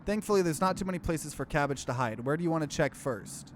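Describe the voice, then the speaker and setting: Slightly high-voiced man narrating a game. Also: animated, fast, a little hiss or hum. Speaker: gaming let's play host. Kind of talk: reading visual novel narration aloud with casual commentary.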